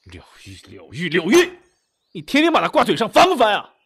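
A man's voice: lowish voice, big pitch swings, brisk. An actor in an audio drama playing a modern young man who is doting, exasperated and secretly lovesick. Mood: furious, jealous.

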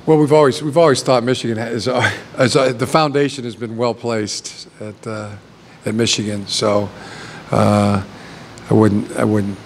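A middle-aged man coaching a team, answering reporters in a halting way, stopping and restarting his sentences. He speaks at an ordinary pitch into a table microphone. A faint hiss sits under the voice. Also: fairly even intonation. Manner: halting, many restarts and fillers. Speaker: head football coach. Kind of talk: postgame press conference answers. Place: press conference room with backdrop. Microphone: table mic.

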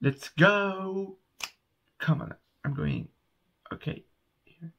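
A man's voice: a little high, drawn-out words, creaky, muffled recording, heavy background noise. A young man from France with a French accent, chatting earnestly to camera from his small bedroom about a song he is reacting to. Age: young adult. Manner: chatty and earnest, gets loud and excited over the music. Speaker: music reaction host. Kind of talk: casual reaction chat to camera. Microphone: webcam at desk, wearing earbuds.

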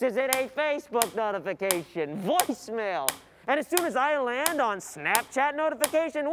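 A young woman playing an overwhelmed, easily distracted student in a theatrical stage monologue, speaking in a halting, confessional way. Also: sits high, background noise. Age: young adult. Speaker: stage actor.

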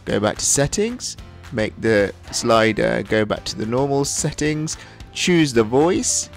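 A man narrating a software tutorial in an instructional, step-by-step way. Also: medium pitch, expressive, takes it slowly, vocal fry, soft background music. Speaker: tutorial host.

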